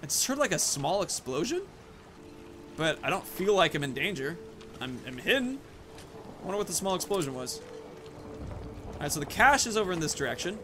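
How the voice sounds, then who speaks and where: A man's voice: a little high, very animated, brisk, pauses often. A man hosting a gaming video, giving casual commentary and thinking aloud about his moves and choices. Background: video game music.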